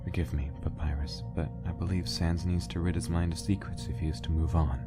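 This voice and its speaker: Formal, earnest fire-monster gentleman played by a man. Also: deep voice, little pitch movement, over music, lightly acted. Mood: solemn. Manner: formal, measured, earnest phrasing. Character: earnest, loyal male fire-monster friend, gently reproachful. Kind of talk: dramatic fan comic dub.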